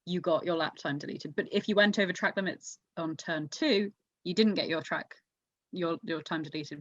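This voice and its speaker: A woman's voice: low voice, a bit bright. A young woman hosting a podcast in casual chat, on a dull, roomy lo-fi recording. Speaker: motor racing podcast host.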